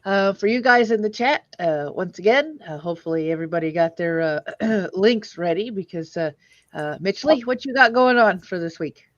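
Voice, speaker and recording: A woman's voice: mid-range voice, expressive, normal speed, dry recording, lo-fi sound. A woman hosting an online old-time radio stream, chatting casually and jokingly with her audience and panel. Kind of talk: casual livestream chat.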